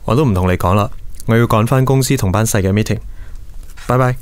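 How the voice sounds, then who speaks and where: An actor playing a caring, attentive young male boss in a radio drama, speaking in a gentle, reassuring way. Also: lowish voice, quick pace, natural voice. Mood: matter-of-fact. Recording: background music under studio drama dialogue.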